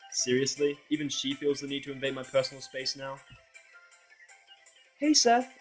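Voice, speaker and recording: A man's voice: mid-range voice, completely flat delivery, normal speed, faint music behind, rough recording. A man narrating a first-person fan fiction audio drama in a sardonic, exasperated way.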